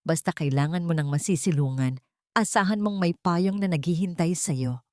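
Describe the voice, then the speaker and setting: A woman's voice: lowish voice, normal speed, darkish tone, no echo, studio quality, lightly acted. Woman voicing a tender, devoted young man. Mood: tender, reassuring.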